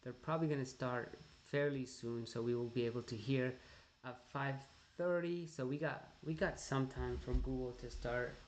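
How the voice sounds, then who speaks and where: A man's voice: medium pitch, steady tone, normal speed, hardly any pauses, slight background noise. A man hosting a casual livestream, filling time and murmuring to himself as he looks things up. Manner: murmurs and hums to himself while searching. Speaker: livestream host.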